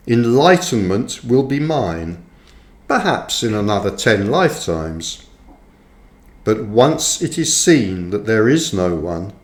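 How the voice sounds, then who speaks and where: A man reading his own book aloud as scripted narration, in measured, deliberate phrases with frequent pauses, in a roomy recording. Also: mid-range voice. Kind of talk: audiobook-style scripted narration. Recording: roomy, echoey sound.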